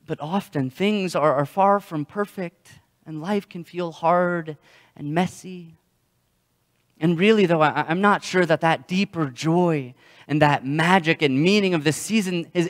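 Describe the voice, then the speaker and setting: A young man preaching a sermon as a pastor, telling stories in a halting way and often repeating words. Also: sits high. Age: young adult. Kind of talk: sermon with storytelling. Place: church sanctuary, indoors. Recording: long hall reverb.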